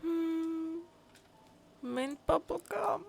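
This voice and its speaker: A woman's voice: very high voice, extremely slow, vocal fry, darkish tone, faint music behind. A woman game streamer chatting casually and reading game dialogue aloud in a musing, hesitant way.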